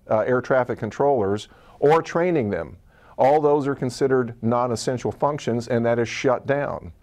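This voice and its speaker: Mid-pitched American male executive. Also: a little mellow. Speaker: airline chief executive. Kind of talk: interview answer.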